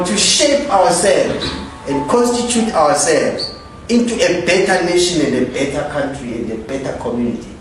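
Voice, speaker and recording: A man with a Zimbabwean accent giving a public lecture, posing rhetorical questions and questioning his audience. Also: high-pitched. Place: lecture hall. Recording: echoey hall, from far off, faint noise.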